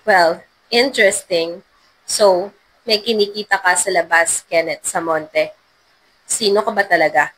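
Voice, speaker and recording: A woman voicing a sharp, suspicious female undercover security agent in a dramatized story reading. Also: fairly low pitch, unhurried, long pauses, voice pushed down, poor audio. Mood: suspicious, musing.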